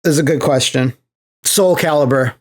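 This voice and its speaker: A man's voice: on the high side, moderate pace. A man co-hosting a podcast in a casual, jokey, conversational way. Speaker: podcast co-host. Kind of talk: casual chat.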